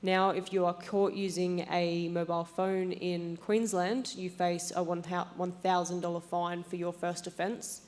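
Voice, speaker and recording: A young woman with an Australian accent presents prepared remarks on a panel. Her voice sits on the low side and stays very even in pitch, with little rise or fall. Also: a little slow, hardly any pauses. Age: young adult. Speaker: panelist, transport policy advisor. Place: conference assembly stage, indoors.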